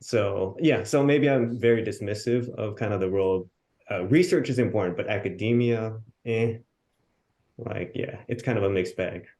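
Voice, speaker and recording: A man from Hawaii speaking casually as a researcher on a video-call panel, relaxed and self-deprecating. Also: on the low side, moderate pace.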